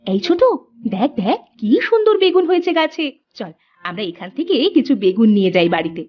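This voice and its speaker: A woman voicing a caring, reassuring elder co-wife in a village cartoon, with a gentle sisterly manner. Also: a little high, big pitch swings, a little fast, lo-fi sound, voice pushed down. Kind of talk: Bengali moral-story cartoon dialogue.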